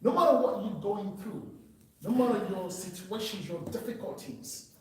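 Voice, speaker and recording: A man's voice: high voice, animated, moderate pace, background noise. A man from Cameroon, giving an earnest, emphatic personal testimony speech at a meeting. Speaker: public speaker. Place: meeting room. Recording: echoey hall, distant mic.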